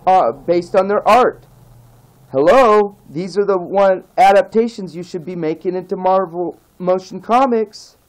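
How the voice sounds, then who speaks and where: A man talks straight to the camera in a passionate vlog rant, his voice sitting a bit high. He begs and repeats himself, pushing each point with emphasis and climbing higher when he pleads. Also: low-quality recording. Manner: pleading and repetitive, emphatic, rises in pitch when begging. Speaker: vlogger. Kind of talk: impassioned casual rant to camera.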